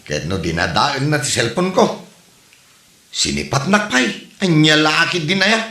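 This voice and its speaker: Man acting a high, swooping, whiny Ilocano husband. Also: very animated, normal speed, bright, forceful tone, heavily acted. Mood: grumbling. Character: sheepish, whiny cheating husband. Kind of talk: Ilocano audio comedy drama acting. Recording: echoey room.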